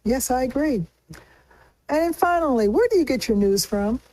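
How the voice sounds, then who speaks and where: A woman's voice: sits high, animated, normal speed, warm tone, no echo. A woman hosting a casual news discussion in a conversational way. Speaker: host.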